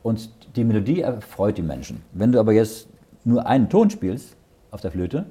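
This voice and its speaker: A man's voice: on the low side, big pitch swings, moderate pace, mellow, slightly rough. A man leading a Bible study. He reads verses aloud, explains them in plain words and often checks with "ja?". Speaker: Bible teacher.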